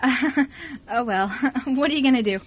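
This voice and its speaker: A woman's voice: high-pitched, unhurried, breathy edge, lo-fi sound, voice pushed up. A woman playing a flustered, friendly young orphanage worker in an amateur radio play, eager and apologetic.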